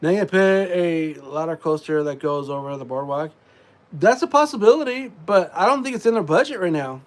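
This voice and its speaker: Congested male streamer with a slightly high voice, loose rambling delivery full of ums. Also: lively intonation, moderate pace. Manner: rambling, frequent fillers and throat clearing. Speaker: live streamer. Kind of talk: casual live stream chat.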